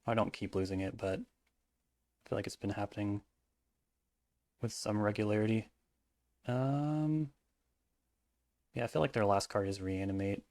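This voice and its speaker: A man casually commentating his own card game match, thinking aloud in mumbled asides, his voice hoarse and cracking at times. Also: fairly low pitch, unhurried, pauses often, a little mellow, no room sound, studio quality.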